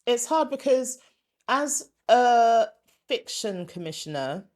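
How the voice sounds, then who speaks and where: A woman, born in Ghana, a former TV comedy commissioner answering as a guest, emphatic and animated. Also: a little high, slow pace. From born in Ghana, raised in West London. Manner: emphatic and animated, acts out quotes. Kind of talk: conversational interview answer.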